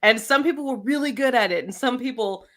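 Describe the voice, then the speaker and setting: A woman co-hosting a casual podcast, excitable and playful, often laughing and joking around. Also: on the high side, fairly quick. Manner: bubbly and excitable, lots of laughs and playful put-on voices. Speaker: podcast co-host. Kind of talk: casual live-stream chat.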